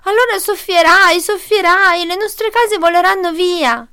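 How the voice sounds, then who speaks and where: Storybook piglet: tiny, terrified, very high-pitched squeal, alarmed shrieking delivery. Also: female voice, sharp tone, heavily acted. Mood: panicked. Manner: shrieking, alarmed, drawn-out cries. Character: three panicky little piglets, young and squealing.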